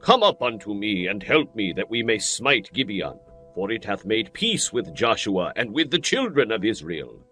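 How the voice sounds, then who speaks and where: Man doing an earnest ancient guy, lively up-and-down voice, music under. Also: fairly low pitch, normal speed. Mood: urgent. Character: fearful Gibeonite men, then a scheming king.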